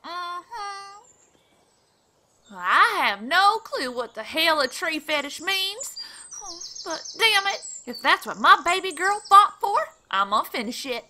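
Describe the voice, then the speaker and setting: A woman's voice: very high-pitched, very animated, takes it slowly, sharp tone, slight background noise, full character voice. A woman playing a brash, flirtatious mother in an audio drama, drawling out syrupy pet names. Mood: bold, sassy.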